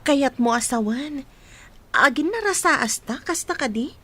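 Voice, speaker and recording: Woman acting a high-voiced, wary poor woman. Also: lively intonation, a little slow, sharp tone, heavily acted. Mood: guarded. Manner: questioning, deferential yet guarded. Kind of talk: dramatic radio-style acting.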